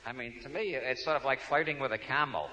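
A man's voice: medium pitch, fast talker, bright, forceful tone. A man with a New York accent giving a humorous talk to an audience, wry and digressive, restarting his sentences often. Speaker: speaker. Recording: dull lo-fi recording.

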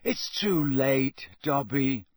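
A man's voice: sits high, big pitch swings, normal speed, no room sound, studio quality, pitched above the performer's own voice, exaggerated voice. A man voicing an anxious, bewildered teenage boy wizard in an audiobook, with a flustered manner. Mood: hopeless. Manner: panting, croaky, flustered exclamations. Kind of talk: audiobook character voice.

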